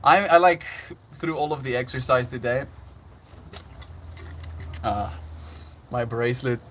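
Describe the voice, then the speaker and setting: A man's voice: medium pitch, a little slow, fairly bright tone, a little hiss or hum. A man chatting casually on his livestream, laughing often and reading out chat messages.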